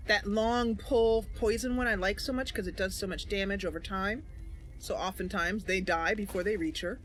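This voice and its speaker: A woman's voice: medium pitch, slight background noise. A woman hosting a gaming channel, giving casual, chatty commentary to viewers as she plays. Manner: chatty, talking to viewers while playing.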